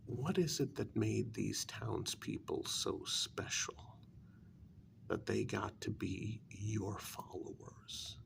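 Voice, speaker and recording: A man playing a sly, smug kidnapper taunting his captive in an ASMR role-play, speaking softly and breathily, often half-whispering, in a halting, wryly sarcastic way. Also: on the low side, gravelly, full character voice. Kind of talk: ASMR role-play, soft-spoken monologue.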